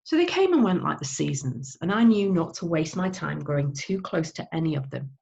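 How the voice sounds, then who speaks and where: Low-pitched woman reading a novel aloud. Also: sing-song, a little fast, gravelly, a little mellow, dull audio. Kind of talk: expressive audiobook narration of a children's novel.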